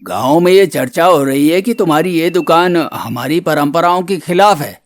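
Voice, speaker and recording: A man's voice: fairly high pitch, moderate pace, dry recording, studio quality, lightly acted. A man voicing a stern, traditional village headman in a moral-story cartoon, speaking with authority. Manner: authoritative, admonishing.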